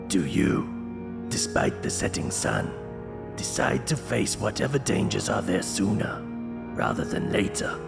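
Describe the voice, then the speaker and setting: Gravelly, creaky man narrating dark fantasy. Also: fairly low pitch, sing-song, unhurried, loud music behind the voice. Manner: ominous, theatrical. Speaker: narrator of a choose-your-own-adventure book. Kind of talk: dramatic scripted fantasy narration in second person.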